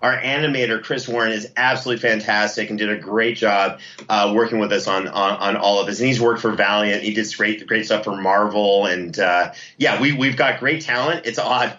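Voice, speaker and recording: A man with a bright, forceful voice gives a casual interview as a guest. He is enthusiastic and effusive, and the audio is a little dull and lo-fi. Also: fairly low pitch, steady tone, fairly quick, hardly any pauses. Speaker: podcast producer and guest.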